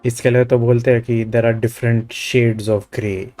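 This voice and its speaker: Indian guy streaming a game, hindi speaker, casual commentary, medium pitch, music in the back. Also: little pitch movement, very fast, a little mellow.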